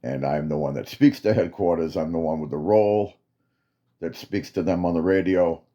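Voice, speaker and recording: A man voicing a stern male military commander who gives slow, emphatic orders. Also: deep voice, warm tone, natural voice.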